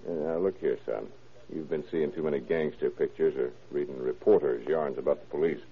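An actor playing a calm, shrewd, fatherly American police lieutenant in a classic radio detective drama. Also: very deep voice, brisk. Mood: firm, patient. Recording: muffled, tinny old radio broadcast.

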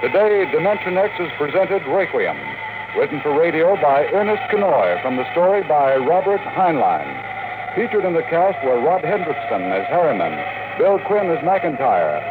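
A man's voice: on the high side, big pitch swings, moderate pace. A man working as a network announcer, reading scripted copy in 1950s radio style. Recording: noisy, tinny old radio recording.

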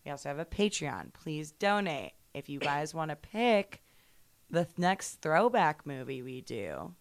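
A woman's voice: deep voice, big pitch swings, a little slow, no echo. A woman co-hosting a podcast in casual chat, with a sultry smoky voice, rambling and giggly with lots of fillers. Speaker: podcast co-host.

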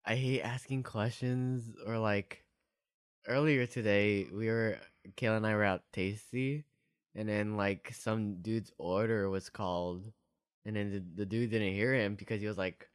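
A man's voice: lowish voice, steady tone, a little slow, clear, resonant tone, no echo, studio quality. A young man co-hosting a podcast, talking casually in a rambling way peppered with filler words. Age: young adult. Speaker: podcast co-host. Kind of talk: casual chat.

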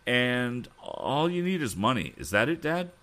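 A man voicing a bold, earnest young male inventor in a storybook, speaking in a quick, confident, ringing way. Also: mid-range voice, very animated, sharp tone, voice pushed up. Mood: concerned, questioning. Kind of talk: audiobook character voice.

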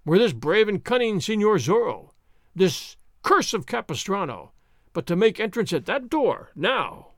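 A man voicing a loud, boastful, burly soldier sergeant who bellows and swaggers. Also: high-pitched, very animated, moderate pace, no room sound, clean studio sound, exaggerated voice. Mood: bellowing.

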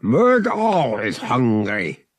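A man voicing a big alien cat-like bodyguard, gruffly friendly, who growls out slow broken English in a storybook reading. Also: mid-range voice, huge pitch swings, no room sound, voice pushed up, full character voice.

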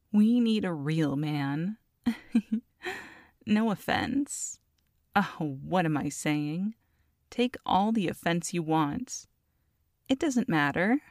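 A woman's voice: on the low side, darkish tone, no echo, studio quality. A woman voicing a feisty, scheming goblin mother in an audio roleplay, sassy and haughty as she talks to a captive. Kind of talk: ASMR audio roleplay monologue.

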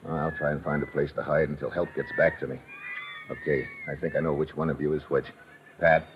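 A man's voice: rumbling low, little pitch movement, fairly quick, background noise. A man playing a tough, weary American adventurer in an old radio drama, clipped and slangy as he narrates and gives orders. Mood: urgent. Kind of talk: old-time radio adventure acting, hard-boiled narration. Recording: dull vintage radio recording, music behind.

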